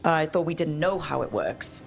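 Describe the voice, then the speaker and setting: An actress playing a resolute, wry team leader in a fantasy video game. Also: female voice, low voice, lively intonation, moderate pace, gravelly, faint music behind. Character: resolute, wry heroine leading her team. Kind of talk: video game cutscene acting.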